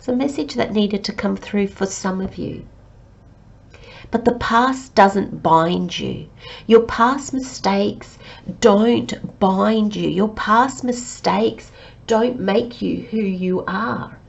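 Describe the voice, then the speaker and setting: A woman giving a tarot reading to her listeners, talking in a slow, reflective and gently encouraging way while she has a cold. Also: mid-range voice, creaky, dull audio. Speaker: tarot reader.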